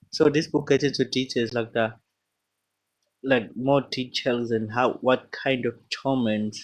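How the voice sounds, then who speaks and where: A man's voice: mid-range voice, rough recording. A man whose first language is not English reads scripture aloud and comments on it casually, often stopping to correct himself and exclaim. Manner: halting, stops often to correct words and exclaim. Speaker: Bible reader and commentator.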